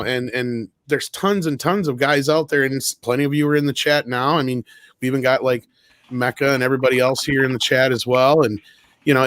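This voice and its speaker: A man's voice: medium pitch, no echo. A man talking casually on a panel show, chatty and rambling, with a jokey streak. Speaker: online talk show panelist.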